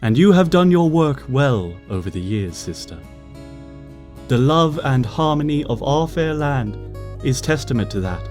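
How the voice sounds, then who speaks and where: A man voicing a wise, gentle female moon princess pony who speaks in a formal, slightly old-fashioned way in a storybook reading. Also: mid-range voice, lively intonation, unhurried, faint music behind, close to an everyday voice. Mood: tender. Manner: formal, archaic-tinged, tender speech.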